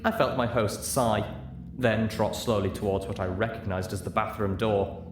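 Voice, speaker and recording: A man's voice: fairly low pitch, fairly even intonation, normal speed. A man narrating a story as a dramatic audiobook reader, recorded in a room. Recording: echoey room.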